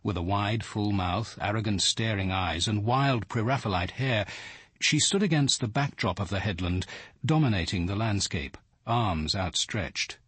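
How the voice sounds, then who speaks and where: An actor playing an observant, cultured detective narrating a radio drama in a measured, precise British voice with some huskiness. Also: male voice, fairly low pitch, fairly bright tone, slightly rough, no echo. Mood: reflective, composed. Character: observant, cultured male detective narrating his own story. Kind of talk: scripted first-person drama narration.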